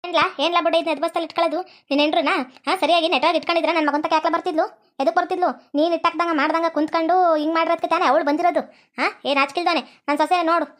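A woman playing a shrill, scolding old village mother-in-law. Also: level tone, racing, fairly bright tone. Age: older. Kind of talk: comedy skit acting.

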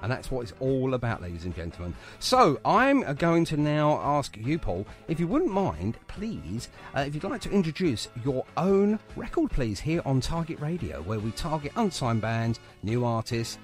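Lively male radio host. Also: medium pitch, moderate pace, hardly any pauses, faint music behind. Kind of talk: chatty radio interview and announcing.

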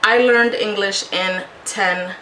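Bright-voiced woman chatting in an echoey room. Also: medium pitch, steady tone, takes it slowly. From Puerto Rico, moved to Connecticut as a child. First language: Spanish. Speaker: video blogger. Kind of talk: casual personal storytelling to camera. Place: small home room, indoors. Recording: echoey room, faint background noise.

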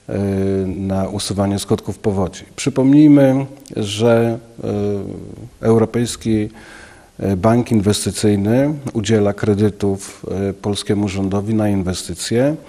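A man in his fifties, a local official giving a news interview in an office, speaking haltingly with many filler sounds into a handheld mic. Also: lowish voice, steady tone, dark tone. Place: office, indoors. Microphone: handheld station mic.

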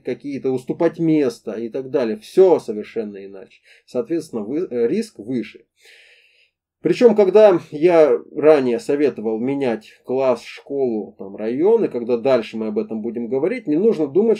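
A man's voice: on the high side, mellow. A man giving an explanatory talk to camera in a didactic, emphatic way, with rhetorical questions and repeated points. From former Soviet country, moved to Russia as a child. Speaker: host of a pedagogy talk series. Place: indoor room against a plain wall.

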